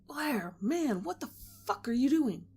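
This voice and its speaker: A woman's voice: high-pitched, very animated, measured pace, a little hiss or hum, pitched above the performer's own voice. A woman voicing a jittery young man. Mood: tense. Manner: hushed, uneasy. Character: jittery young man, friend on a ghost hunt.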